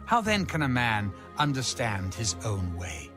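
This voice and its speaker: A man reading scripture aloud in a solemn, measured, declamatory style with music behind him. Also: on the low side, sing-song. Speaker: Bible reader.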